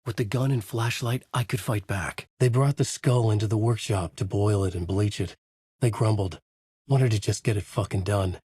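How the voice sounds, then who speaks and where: A man's voice: fairly low pitch, normal speed, a little mellow, slightly rough, dry recording. A middle-aged man narrating a video game as a brooding writer, in a noir-like inner monologue. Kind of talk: hard-boiled game narration.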